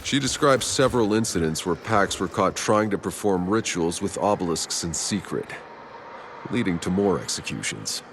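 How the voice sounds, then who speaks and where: Deep-voiced, grave soldier. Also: male voice, animated, normal speed, a bit bright, slight background noise. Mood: grim. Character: gruff soldier reporting grim discoveries to companions. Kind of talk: video game cutscene acting.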